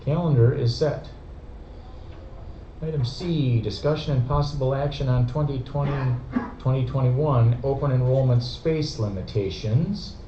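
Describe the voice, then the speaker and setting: A man's voice: medium pitch, noisy background. A man chairing a school board meeting, moving through motions and votes in a procedural way. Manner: procedural, brisk. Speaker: board president. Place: meeting room. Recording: dull echoey room recording.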